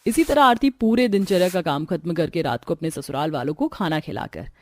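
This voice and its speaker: A woman's voice: on the low side, expressive, fairly quick, darkish tone. Lady narrator for cartoon family stories, scripted storytelling, faint music in the back.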